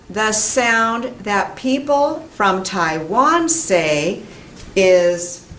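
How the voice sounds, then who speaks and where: A middle-aged American woman giving a pronunciation lesson to camera in a deliberate, emphatic, carefully enunciated way. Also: medium pitch, a bit bright, slight background noise, rough recording. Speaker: English pronunciation teacher. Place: indoor classroom in front of a whiteboard.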